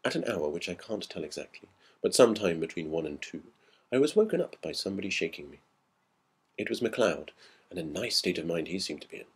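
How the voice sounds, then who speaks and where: A man reading a classic ghost story aloud in a measured, dramatic storytelling manner. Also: low voice, sing-song, husky. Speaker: audiobook narrator.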